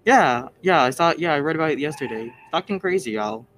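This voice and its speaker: A man's voice: a little high, fairly quick. Teen guy on a school podcast, gets all worked up telling stories, faint background hum. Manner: animated, excitable storyteller. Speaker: co-host. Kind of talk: casual chat.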